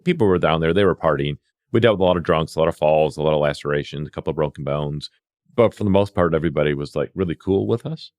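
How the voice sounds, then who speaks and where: A middle-aged man with a low voice talks quickly through casual stories from his working life. He slips into quoted dialogue, re-enacting exchanges as he tells them. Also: darkish tone. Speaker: podcast guest, veteran EMS worker. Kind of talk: casual anecdotal storytelling.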